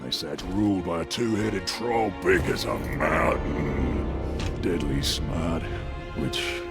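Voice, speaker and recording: An actor playing a boisterous, cheeky orc brute in a video game, with a gravelly, cocky delivery. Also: male voice, deep voice, slow pace. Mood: eager. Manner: cocky, slangy, jokey. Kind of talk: video game character acting. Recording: loud music behind the voice.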